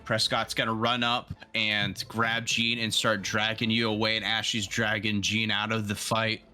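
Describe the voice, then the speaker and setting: Bright, forceful man with a lowish voice. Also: completely flat delivery, normal speed, faint music behind. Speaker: player. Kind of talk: casual tabletop game talk.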